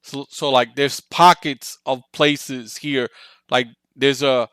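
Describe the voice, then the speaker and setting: Bright-voiced man hosting a podcast. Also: medium pitch, fairly even intonation, takes it slowly, clear, resonant tone, dry recording. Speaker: podcast host. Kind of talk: casual interview chat.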